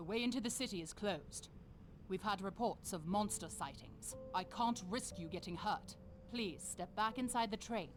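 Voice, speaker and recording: A woman's voice: medium pitch, normal speed, over music, lightly acted. A woman voicing a wary, dutiful city guard in a dramatic video game, with an official, cautious manner. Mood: firm warning.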